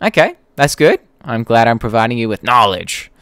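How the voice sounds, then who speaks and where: A man's voice: medium pitch, very animated, moderate pace, a bit bright, smooth, no room sound, clean studio sound. A young Australian man hosting a casual live Q&A, chatting to viewers and reading their comments in a blunt, informal, sweary way. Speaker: YouTube creator hosting a live Q&A.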